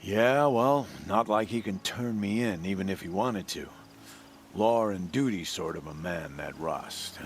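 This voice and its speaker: An actor voicing a gruff, wary outlaw trader and former outcast in a video game, laconic and guarded. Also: male voice, deep voice, measured pace, faint music behind. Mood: dry, guarded. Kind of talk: video game dialogue acting.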